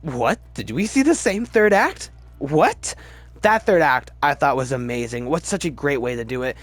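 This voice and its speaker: A man giving casual, opinionated commentary as a video host, emphatic and halting, often restarting his sentences. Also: medium pitch, expressive, no room sound. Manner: chatty, halting, restarts sentences mid-word. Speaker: movie review video host. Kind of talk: casual ranked-list movie commentary.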